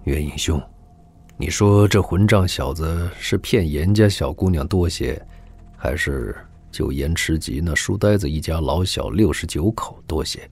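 An actor playing a shrewd older warlord father in a wuxia audio drama, wry and earthy, with a husky voice. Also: very deep voice, a little slow, a little mellow, full character voice. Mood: wry amusement.

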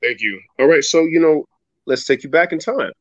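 Male guest, 30s, dramatic animated storyteller, webcam at home, flat dull dry audio. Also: on the high side, normal speed, no echo. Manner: animated, dramatic storyteller who acts out quoted voices. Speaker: guest speaker. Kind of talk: storytelling interview answer. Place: home room with ceiling fan, indoors. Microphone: video call webcam.

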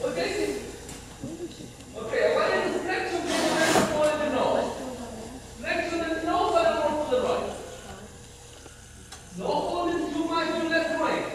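Echoey far-off riding coach, high voice. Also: male voice, background noise. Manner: short repeated commands, frequent "okay?", encouraging. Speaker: riding clinic instructor. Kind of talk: coaching a rider in a dressage lesson. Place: large indoor riding arena. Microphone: far camera. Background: hoofbeats.

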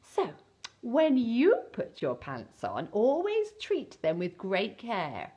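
Very animated high-voiced woman storyteller. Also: slow pace, slight background noise. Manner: playful, exaggerated, expressive. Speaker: children's entertainer and storyteller. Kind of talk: theatrical children's storybook reading. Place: small indoor set with fabric backdrop.

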